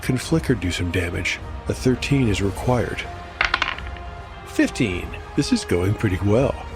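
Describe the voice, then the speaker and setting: Male solo game master, low pitch, narrates rolls and reflects aloud over clear music. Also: animated, unhurried. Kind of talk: solo tabletop actual-play narration.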